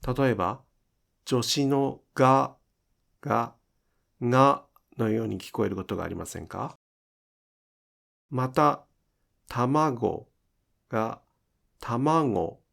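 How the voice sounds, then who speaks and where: A man teaching pronunciation in an instructional style, enunciating deliberately and carefully for language learners. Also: mid-range voice, creaky, a bit bright. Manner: deliberate, careful enunciation with demonstrated example words.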